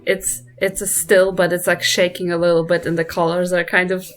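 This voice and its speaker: Halting woman player at a tabletop game. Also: fairly low pitch, level tone. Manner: halting, searching for words. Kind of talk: casual chat at a tabletop game.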